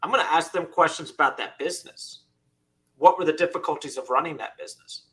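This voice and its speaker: Bright-voiced man in his thirties. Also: fairly high pitch, fast. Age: 30s. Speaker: podcast co-host. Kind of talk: casual panel discussion.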